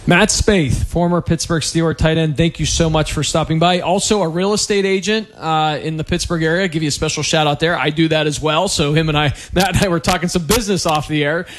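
Male sports radio host, fairly high voice, chatty, effusive, casual live interview. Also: fairly quick, few pauses, a little hiss or hum.

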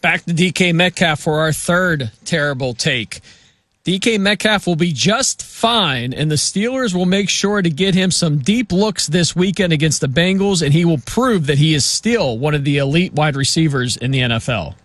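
A man with a somewhat high voice hosts a football talk segment. He is upbeat and joking as he moves the show along. The recording is dry and close. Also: lively intonation, normal speed, fairly bright tone, dry recording. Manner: upbeat, jokey, runs the segment. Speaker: sports talk show host. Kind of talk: sports talk show hosting.

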